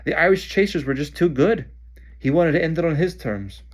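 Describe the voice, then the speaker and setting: A man voicing an excited teenage boy wizard shouting at a match. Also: on the high side, brisk, pitched above the performer's own voice.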